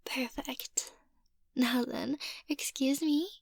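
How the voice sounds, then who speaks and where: Woman acting a high, sweet vampire mistress. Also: steady tone, extremely slow, vocal fry, no echo, exaggerated voice. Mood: playful, coaxing. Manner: soft-spoken, teasing, often drops to a whisper. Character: playful, sweet young female vampire mistress. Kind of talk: ASMR roleplay.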